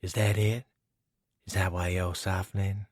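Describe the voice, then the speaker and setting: A man's voice: sits low, little pitch movement, unhurried, a little mellow, no room sound, clean studio sound. A man voicing a sly, teasing female villain.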